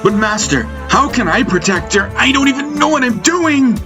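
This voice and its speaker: A man's voice: very high voice, lively intonation, normal speed, over loud music, pitched above the performer's own voice. A man playing a devoted but unsure young warrior disciple in an audiobook, earnest and hesitant. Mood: panicked.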